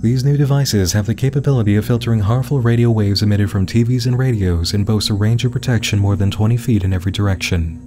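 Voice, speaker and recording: Low-pitched man narrating over music. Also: quick pace, few pauses, dark tone. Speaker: narrator and host of a web series explainer. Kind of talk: scripted narration.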